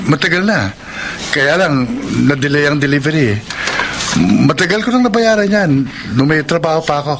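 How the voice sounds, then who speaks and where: An actor playing a meek, evasive young husband who speaks hesitantly in a Tagalog radio drama. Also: male voice, on the high side, expressive, moderate pace, noisy background, natural voice. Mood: nervous, evasive. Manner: hesitant, stammering.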